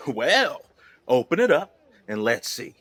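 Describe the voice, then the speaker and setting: Impatient old-west trader, curt, sharp forceful voice that moves around a lot, audio drama, bit of background ambience. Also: male voice, mid-range voice, big pitch swings, slow, no room sound, exaggerated voice. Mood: curious, brusque. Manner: curt, unimpressed. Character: gruff, impatient male frontier trader.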